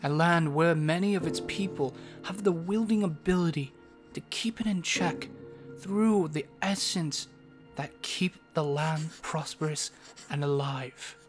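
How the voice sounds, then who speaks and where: A man playing a solemn storyteller, narrating a scripted fantasy prologue with deliberate phrasing. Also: on the high side, natural voice. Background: faint music.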